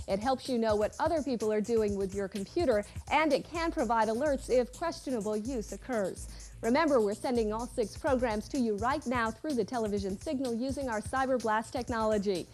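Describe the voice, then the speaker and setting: Bright-voiced woman reading a tech segment. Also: a little high. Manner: smooth, even read-out. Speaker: show segment host. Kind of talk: scripted tech segment narration.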